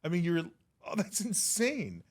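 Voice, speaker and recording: A man hosting a podcast interview, reacting with quick enthusiasm and asking his guest questions. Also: high-pitched, wildly swooping pitch. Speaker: podcast host.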